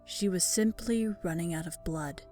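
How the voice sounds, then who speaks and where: A woman reading scripted dark fiction as a narrator, measured and dramatic. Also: lowish voice, soft background music.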